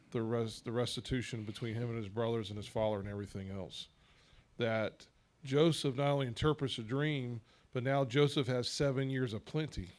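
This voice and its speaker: Earnest man leading a Bible study. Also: mid-range voice. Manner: measured, earnest teaching with rhetorical questions. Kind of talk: church bible discussion.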